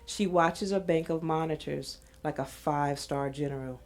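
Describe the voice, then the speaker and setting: A woman's voice: low voice, moderate pace, a little mellow, faint music behind. A woman reading scene directions as a scripted narration.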